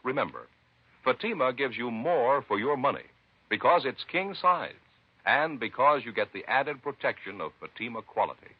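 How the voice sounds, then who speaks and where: A man's voice: medium pitch, expressive, normal speed, slightly rough, no room sound. A man with an American accent delivering an emphatic, salesmanlike 1950s radio advertisement. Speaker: commercial announcer. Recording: dull vintage radio recording.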